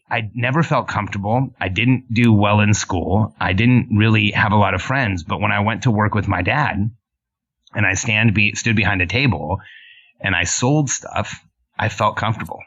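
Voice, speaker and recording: A man's voice: on the low side, moderate pace, a bit bright. A man in his forties who grew up in Mexico and Southern California, telling his story earnestly as a podcast guest. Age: 40s. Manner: earnest, with frequent restarts. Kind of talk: casual interview storytelling. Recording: muffled lo-fi line.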